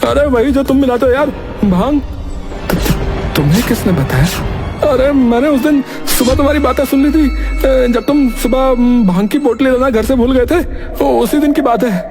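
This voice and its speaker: A man voicing a panicky young tea-stall owner who yells for help. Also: very high-pitched, normal speed. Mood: sly. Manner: frantic, yelling for help. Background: background music.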